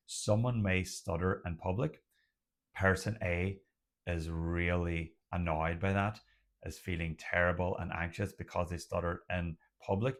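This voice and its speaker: A man who stutters at times, giving a slow, deliberate motivational talk as the host of a stuttering support channel. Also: low-pitched.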